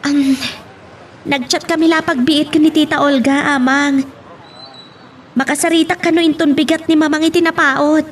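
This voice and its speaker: A troubled, dutiful young daughter in a radio drama, played by a woman. She speaks in a high voice. A faint noise runs under the recording. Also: fairly even intonation, normal speed, pauses often, natural voice. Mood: gentle.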